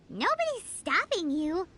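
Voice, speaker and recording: A woman voicing a tiny, childlike, cheeky sprite companion in a video game, squeaky and sassy. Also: heavy background noise, full character voice.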